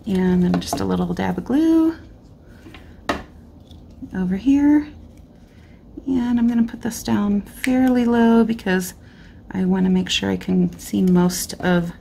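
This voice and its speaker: A woman talks through a paper craft at her desk, unhurried and chatty, with a gravelly edge to her voice. She is a little hoarse and clears her throat now and then. Faint room noise sits under her voice. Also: medium pitch. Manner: relaxed, chatty, thinking aloud with fillers. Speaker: card-making tutorial host. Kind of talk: casual crafting tutorial narration. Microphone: overhead camera, hands only on craft mat.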